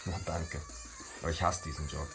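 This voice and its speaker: A skeptical young man in a naturalistic radio drama. His voice sits extremely low, and his talk is laid-back and colloquial. Clear music runs underneath. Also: level tone, brisk. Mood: jaded, casual. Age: young adult. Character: disillusioned young male student and model, casual, skeptical.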